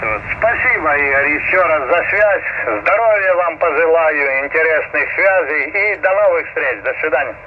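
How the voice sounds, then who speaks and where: A man's voice: on the high side, normal speed, hardly any pauses. A middle-aged or older man chatting in a friendly, rambling way over amateur shortwave radio. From Ukraine. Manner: chatty, hesitant fillers, friendly. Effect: shortwave radio transmission. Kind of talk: casual ham radio chat. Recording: muffled, noisy shortwave radio reception. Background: radio static and noise.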